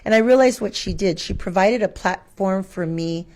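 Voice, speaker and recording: A woman with a mid-range voice speaks as an interview guest. She is reflective and expressive, working through her thoughts conversationally and sometimes posing rhetorical questions. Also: mid-range voice, moderate pace.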